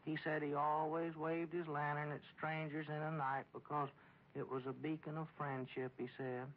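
A man's voice: on the high side, level tone, moderate pace, lightly acted. An actor playing a meek, simple, dutiful small-town man with a rural Southern accent, reporting earnestly and hesitantly in a 1940s radio comedy. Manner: deferential, literal, hesitant. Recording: muffled old radio recording.

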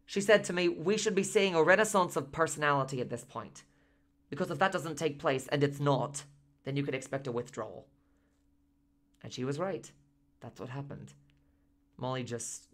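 Radio drama, male actor as excitable, aggrieved husband: chatty, digressive, emphatic storyteller in monologue. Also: medium pitch, close to an everyday voice. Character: Frank Sweeney, talkative, excitable, aggrieved husband.

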